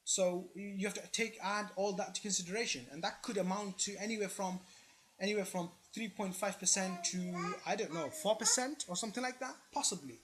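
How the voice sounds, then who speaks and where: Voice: medium pitch, fairly quick, slight background noise. An online presenter giving informal explanatory commentary in an opinionated, emphatic manner, often checking in with the listener.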